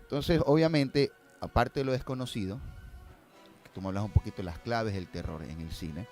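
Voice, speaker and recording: A man's voice: fairly low pitch, moderate pace, a little mellow, soft background music. A man talking casually on a podcast as a guest, explaining step by step and often checking in with his listeners. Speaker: guest filmmaker.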